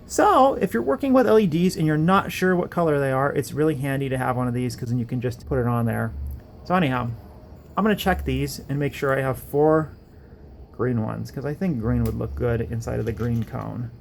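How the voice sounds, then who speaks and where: A man narrates a hands-on electronics project in a casual, unscripted ramble. His mid-pitched voice has a lot of gravelly creak, and he tends to poke fun at his own work. There is faint noise behind him. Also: fairly quick. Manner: rambling, self-deprecating. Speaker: electronics hobbyist host. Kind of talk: casual workbench build narration.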